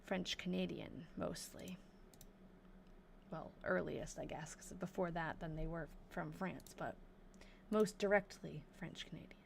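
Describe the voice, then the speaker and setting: A young woman with a New England accent, chatting casually on a live stream as she reads an old diary aloud slowly and thinks out loud. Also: lowish voice, steady tone, creaky, a little hiss or hum. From New Hampshire. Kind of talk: casual livestream chat and slow diary reading.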